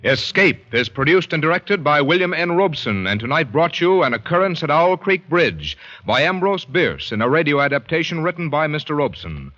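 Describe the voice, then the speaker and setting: Casting: American male vintage radio announcer, highish, forceful bright tone, formal and polished. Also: very animated, normal speed, faint music behind. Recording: muffled vintage radio recording.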